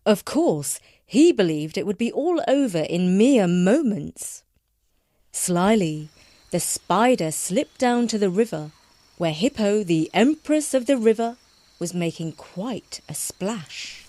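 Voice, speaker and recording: A woman narrating a scripted children's story in an expressive, dramatic storytelling style. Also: mid-range voice, slow pace, dry recording.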